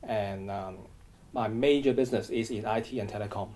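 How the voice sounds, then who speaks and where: Middle-aged man from Hong Kong, halting interview answers, low and warm. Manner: hesitant, frequent restarts and repeated words. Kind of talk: oral history interview.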